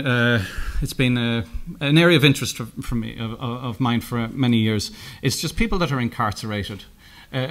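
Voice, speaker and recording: A middle-aged man with an Irish accent speaks from a lectern in a conference room. He tells stories in a loose, anecdotal way, stopping often to restart and correct himself. His voice sits in an ordinary middle pitch. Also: a bit bright. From Ireland. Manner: anecdotal, halting with many restarts and self-corrections. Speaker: keynote speaker. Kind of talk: conference keynote talk.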